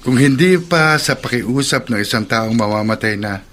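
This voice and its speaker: An actor playing a calm, supportive, gently chiding Filipino male companion in a radio drama. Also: medium pitch, moderate pace, close to an everyday voice. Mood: caring, chiding. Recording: slight background noise.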